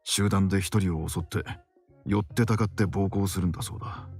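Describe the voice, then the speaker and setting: An actor playing a calm, paternal middle-aged male doctor, laid-back, in a visual novel. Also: deep voice, very fast, husky, soft background music. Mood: pensive. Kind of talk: Japanese visual novel acting.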